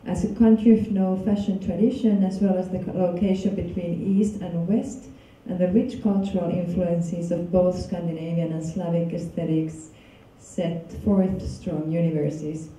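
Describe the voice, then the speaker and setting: Woman lecturer, from Finland, low mellow voice, roomy distant hall sound. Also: steady tone, a little hiss or hum. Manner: halting, casual, many fillers and restarts. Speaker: guest lecturer. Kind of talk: public lecture with interpreter. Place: large dark lecture hall. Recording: echoey hall from far off.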